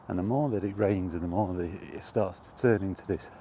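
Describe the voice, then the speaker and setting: Middle-aged man, deep mellow voice, casual rambling vlog, offhand, outdoors with faint background noise. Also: brisk. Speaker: video game vlogger. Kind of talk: casual rambling chat while walking. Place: outdoors, walking toward a park.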